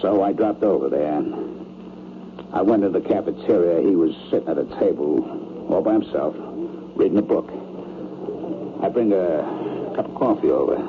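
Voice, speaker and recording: An actor playing a blunt, uneasy rich man in an old-time radio drama, with halting, defensive, streetwise speech. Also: sits low, natural voice. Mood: awkward, probing. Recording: tinny, noisy old radio broadcast.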